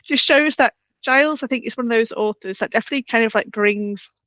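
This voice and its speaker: A woman interviewer with a fairly high voice chats in a rambling, enthusiastic style. Her manner is effusive and giggly. The sound is close and dry, a little muffled. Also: quick pace, a bit bright, no room sound.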